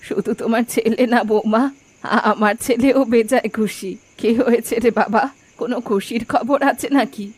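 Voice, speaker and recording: A woman's voice: on the high side, fairly even intonation, normal speed, dry recording. A woman voicing a kindly, contented older village grandmother in a cartoon, with a homely, affectionate manner. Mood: pleased. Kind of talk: cartoon voice acting. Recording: music clearly playing behind the voice.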